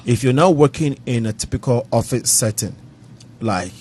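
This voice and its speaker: A man's voice: medium pitch, lively intonation, normal speed, darkish tone, slight background noise. A man from Ghana hosting a casual panel discussion, chatty and often finishing other people's sentences.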